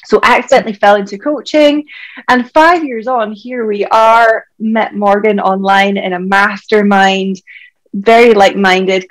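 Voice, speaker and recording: A woman's voice: mid-range voice, low-quality recording. Chatty Scottish woman, frequent fillers. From Scotland. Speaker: guest, doctor and health coach. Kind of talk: casual podcast conversation.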